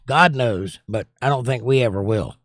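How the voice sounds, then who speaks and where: A slightly hoarse middle-aged man hosting a livestream, chatting casually and teasing his viewers as he reads their comments. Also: mid-range voice, moderate pace, a bit bright, no room sound, studio quality. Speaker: livestream host. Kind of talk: casual chat reading viewer comments aloud.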